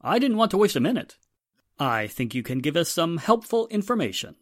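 An actor playing a firm, courteous police inspector with a formal, authoritative manner in 1940s radio drama style. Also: male voice, fairly high pitch, big pitch swings, fairly quick, dry recording, studio quality. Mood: polite, measured.

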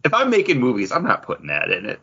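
Husky, animated man bantering on a podcast. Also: mid-range voice, fast, highs cut off. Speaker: podcast co-host. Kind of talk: casual banter.

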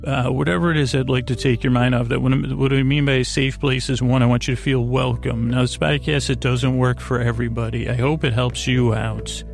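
A man hosting a bedtime podcast in a creaky voice, rambling in a gently silly, halting way that meanders and circles back. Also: mid-range voice, level tone, faint music behind. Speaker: podcast host. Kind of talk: rambling bedtime monologue to aid sleep.

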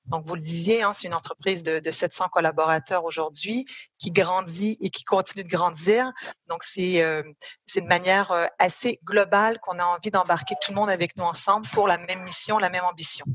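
A woman's voice: fairly low pitch, steady tone, a little fast, a bit bright, no echo. A middle-aged woman with a French-Canadian accent, an HR executive answering interview questions thoughtfully with frequent hesitations.

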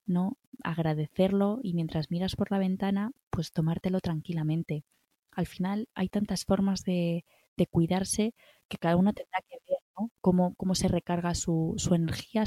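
A woman's voice: fairly low pitch, mellow, no echo. A middle-aged woman with a Spanish accent from Spain, giving encouraging, reassuring self-care advice in conversation, often checking in with little tag questions. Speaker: wellbeing guest giving advice.

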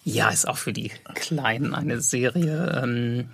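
A man's voice: medium pitch, slow pace, vocal fry, a bit bright, slightly rough. A man speaking casually as an audio drama producer and composer being interviewed.

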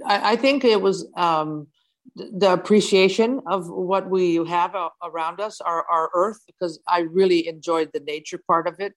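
A guest in a casual interview whose first language is Dutch speaks in a halting way, often restarting while searching for words. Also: mid-range voice, low-quality recording.

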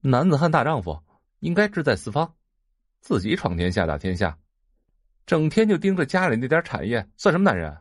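A spoiled young heir in an audio drama. He is cheeky, carefree and given to whiny pleading, with very lively pitch in a dry studio sound. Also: brisk, no room sound. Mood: cheerful boasting. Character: whiny, carefree young rich son, game-obsessed.

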